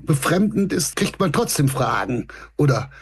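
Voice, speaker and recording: A man's voice: a little high, big pitch swings, moderate pace, gravelly, breathy edge. A man hosting a podcast, greeting listeners formally and asking his guest friendly questions. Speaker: podcast host. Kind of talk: podcast interview.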